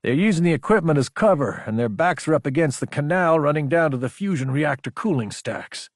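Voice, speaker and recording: Audiobook lieutenant, acted by a man: level-headed under fire, matter-of-fact, slightly husky voice. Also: on the high side, animated, fairly quick, dry recording, clean studio sound, voice pushed up. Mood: calm, businesslike. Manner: clipped, matter-of-fact military speech. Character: calm, analytical male army lieutenant in combat.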